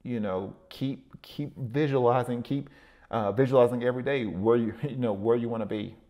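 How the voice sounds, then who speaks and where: A man's voice: mid-range voice, normal speed, dull audio. A man hosting a podcast, giving a solo motivational talk in a rambling way, with many fillers and repeated words. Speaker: podcast host.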